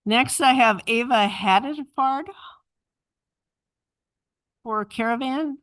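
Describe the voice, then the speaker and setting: Slow procedural woman chairing a meeting. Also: on the high side, a bit bright, no echo, rough recording. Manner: brief, procedural. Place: council chamber.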